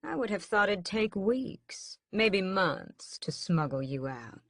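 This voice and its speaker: A woman's voice: medium pitch, very animated, takes it slowly, no room sound, clean studio sound, heavily acted. A woman voicing a suave, sinister male vampire captor who drawls with languid, mocking menace in an audiobook.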